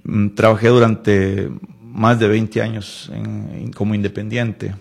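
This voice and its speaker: A Costa Rican man in his late forties with a lowish, creaky and husky voice. He talks casually as an interview guest, reflecting as he goes, restarting phrases and checking in with little tag questions. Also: little pitch movement. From Costa Rica, Palmares in the western Central Valley. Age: late 40s. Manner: halting, reflective, repeats words and seeks agreement with tags. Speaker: interview guest, writer and designer.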